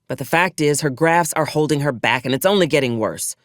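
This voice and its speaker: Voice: mid-range voice, a little fast, dry recording, studio quality, close to an everyday voice. An actor playing a stern, pragmatic military officer in a video game, wry and coolly menacing, with clipped, formal frontier phrasing. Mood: matter-of-fact, businesslike. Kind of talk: scripted video game dialogue.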